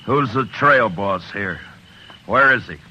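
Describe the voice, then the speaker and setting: An actor playing a grim, determined frontier marshal in a 1950s radio western, speaking quietly and tersely. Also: male voice, lowish voice, lively intonation, slow pace, slight background noise, full character voice. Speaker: marshal and narrator. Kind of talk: 1950s radio drama acting. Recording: dull lo-fi vintage radio recording.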